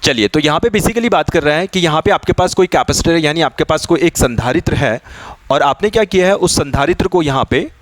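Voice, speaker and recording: A man speaks in a somewhat high-set voice, recorded dry with hardly any room sound. He teaches like an exam coach, working through a problem step by step. He often repeats his points and slips English technical terms into his explanation. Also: expressive, brisk, no room sound. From India. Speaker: physics teacher for exam preparation. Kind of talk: explanatory lesson working through practice questions.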